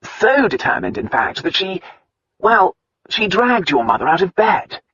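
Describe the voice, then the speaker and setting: A young gentleman, voiced by a male narrator, speaks in a raised, teasing tone that turns soothing. His voice sits a little high and husky, in a dry, slightly dull recording. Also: big pitch swings, unhurried, dry recording, heavily acted. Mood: teasing, tender. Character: amused, tender young gentleman suitor.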